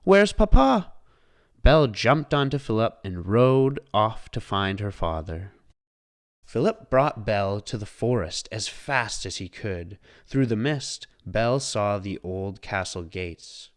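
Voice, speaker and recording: Man, lowish voice, children's storybook narration, expressive, very animated, unhurried slow pace. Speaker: read-aloud narrator.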